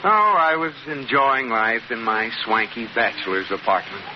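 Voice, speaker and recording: An actor playing a grumbling, wisecracking husband in an old radio sitcom, dry and exasperated, on a muffled, noisy recording. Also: male voice, mid-range voice, normal speed, lightly acted. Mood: dry teasing. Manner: dry complaints and exasperated quips. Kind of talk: 1940s-50s radio sitcom acting.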